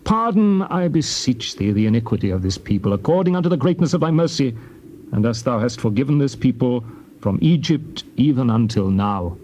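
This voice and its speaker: A man playing an earnest prophet pleading with God in a dramatized scripture recording, reverent and measured. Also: medium pitch, lively intonation, a little mellow, slight background noise, close to an everyday voice.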